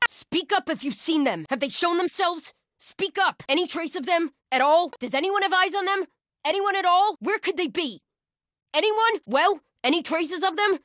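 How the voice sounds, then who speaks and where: A woman playing a hardened, vigilant cult soldier in a video game, speaking in terse, clipped callouts with a husky voice. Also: very high voice, fairly bright tone. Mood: calling out. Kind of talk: video game combat voice lines.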